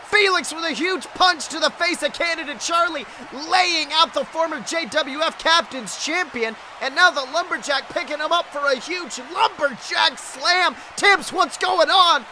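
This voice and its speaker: A male wrestling commentator calls the action in a strikingly high, sharp voice. His delivery is breathless and exclamatory, full of dramatic emphasis. There is faint noise and ambience behind him. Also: moderate pace, hardly any pauses. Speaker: wrestling commentator, podcast host. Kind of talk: excited play-by-play wrestling commentary.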